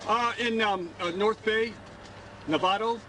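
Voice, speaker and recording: A man's voice: high voice, a little slow. A man from Michigan with a Midwestern US accent, a driver pulled over at a traffic stop who answers hesitantly and stammers. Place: roadside by a highway. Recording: loud traffic noise, voice off-mic.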